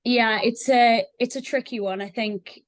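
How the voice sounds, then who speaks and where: A woman's voice: on the high side, fairly even intonation, a little slow. A woman speaking conversationally as an interview guest, explaining things in a reflective, loosely hedged way. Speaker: software sales representative, guest.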